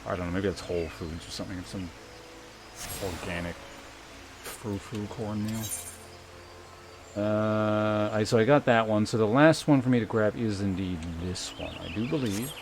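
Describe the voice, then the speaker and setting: Low-voiced man streaming a game. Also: normal speed, noisy background. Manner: rambling, dry sarcasm, swears casually. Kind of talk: casual livestream gameplay commentary, reading chat aloud. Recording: music behind the voice. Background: game music.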